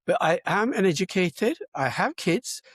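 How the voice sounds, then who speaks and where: Older man, husky voice. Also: high voice, animated, moderate pace, a bit bright, dry recording, clean studio sound. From Turkey. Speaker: guest, computer science educator. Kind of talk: interview self-introduction, conversational.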